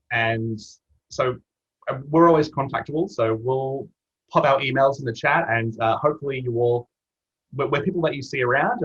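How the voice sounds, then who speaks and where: Casual Australian man with a slightly rough voice. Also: medium pitch. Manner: casual, matey. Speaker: webinar presenter from a campaign platform team. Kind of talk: informal online presentation. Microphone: video call. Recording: dull lo-fi video call audio.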